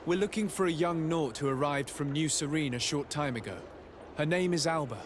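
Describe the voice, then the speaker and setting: An actor playing a polite, composed young diplomat in a video game, speaking formally. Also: male voice, a little high, a little fast, close to an everyday voice. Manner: formal, courteous questioning. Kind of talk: video game cutscene dialogue. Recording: clear noise, outdoor ambience behind.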